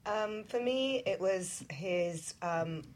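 A woman on a talk show panel, talking casually about personal things and halting as she thinks aloud. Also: medium pitch, lively intonation, a little mellow.

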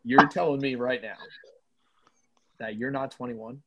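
A man hosts a casual podcast chat. He is from the United States and talks in a slangy, buddy-to-buddy way, with a medium-pitched voice. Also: steady tone, measured pace, muffled recording, a crowd in the background. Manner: slangy, calls people dude and man. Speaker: podcast host.

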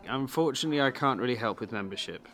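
Village undertaker, acted by a man, mid-pitched voice, wry, brusque, cagey, audio drama. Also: expressive, fast, a bit bright.

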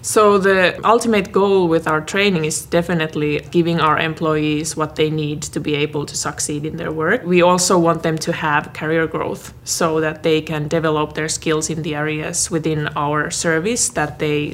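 A woman's voice: sits low, little pitch movement, normal speed, hardly any pauses, a little hiss or hum. A woman from Finland, a guest in a podcast interview, speaking thoughtfully and repeating words as she searches for her phrasing. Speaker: learning and development manager, guest.

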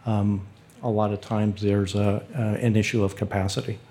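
A man with a low voice speaking unscripted as a panelist, plain-spoken and somewhat halting. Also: flat delivery, dark tone, a little hiss or hum. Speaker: panelist, state park official.

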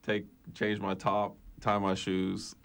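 A man with a deep, creaky voice is a guest athlete on a radio show. He chats in a casual, informal way in the studio. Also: monotone, slow. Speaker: basketball player guest. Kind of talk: casual radio interview chat.

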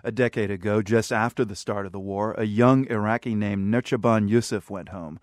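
A man hosting a radio news program, reading narration and asking calm, measured questions. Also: fairly low pitch, no echo, clean studio sound. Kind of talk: news interview and scripted narration. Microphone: studio microphone.

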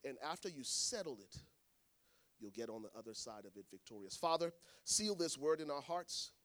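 A man preaching a sermon to a congregation, swinging between hushed lines and shouted ones. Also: medium pitch, lively intonation, normal speed. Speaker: pastor. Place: church in front of a congregation.

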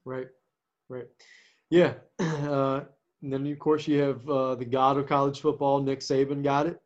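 A man who coaches, talking casually about sports as a podcast co-host, with a naturally quiet voice and a halting way of talking, full of restarts and fillers. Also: mid-range voice, little pitch movement. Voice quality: naturally quiet voice that does not carry. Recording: dull lo-fi sound.

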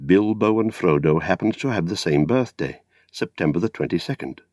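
An older British man narrating a literary story in a measured, theatrical way. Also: low voice, animated, darkish tone, no room sound, studio quality. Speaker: audiobook narrator.

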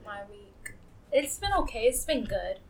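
A woman's voice: fairly high pitch, expressive, unhurried, creaky, a little hiss or hum, rough recording. A woman in a casual chat, thoughtful and a little hesitant.

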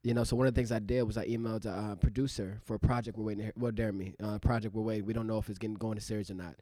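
A man's voice: on the low side, steady tone, rapid-fire, mellow, no echo, studio quality. A man in his thirties, an actor co-hosting a podcast, talking in a loose, rambling way with many fillers. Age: 30s. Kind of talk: casual reflective chat.

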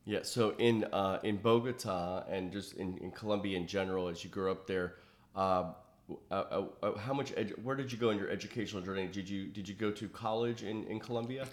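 A man's voice: lowish voice, fairly quick. A middle-aged man hosting a podcast interview, talking in a rambling way with many fillers. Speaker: podcast host.

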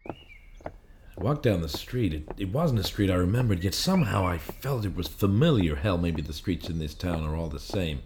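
An actor playing a confused, weary amnesiac in a film noir audio play, halting and repetitive, with hard-boiled phrasing. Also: male voice, low voice, sing-song, background noise. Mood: weary, brooding.

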